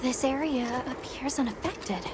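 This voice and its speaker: High-voiced, courteous young expedition leader. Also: female voice, measured pace, very noisy. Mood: curious, polite. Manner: courteous, articulate. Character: polite, composed young woman leading a field expedition. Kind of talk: video game cutscene acting. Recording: very loud music behind the voice.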